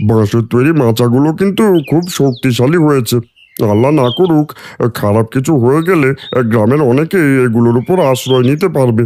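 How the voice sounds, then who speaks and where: Man voicing a helpful village neighbour, mid-pitched. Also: animated, a little fast, darkish tone, a little hiss or hum, close to an everyday voice. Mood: supportive. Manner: helpful, curious. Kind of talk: Bengali moral-story cartoon dubbing.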